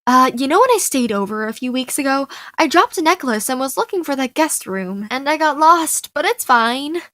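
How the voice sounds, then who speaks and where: A feisty young female con artist played by a woman tells her story in an animated fan dub. Her voice is high and theatrical, with expressive delivery. The recording is close and dry, with no room sound. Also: moderate pace, dry recording. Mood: pleading, scheming. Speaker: amateur fan dubber. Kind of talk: animated story fan dub, first-person narration with dialogue.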